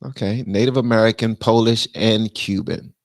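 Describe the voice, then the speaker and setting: A man's voice: on the low side, moderate pace, dry recording, studio quality. A man hosting a livestream of political commentary, talking bluntly with slang and slipping into mock voices. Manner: animated, drops into mock voices. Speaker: livestream host.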